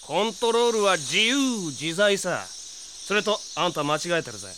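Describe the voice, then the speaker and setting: An actor playing a cocky, cold-hearted gunslinger villain in an anime audio drama, swaggering and taunting with drawn-out words. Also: male voice, high voice, very animated, noisy background. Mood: smug, taunting. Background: prominent dramatic music.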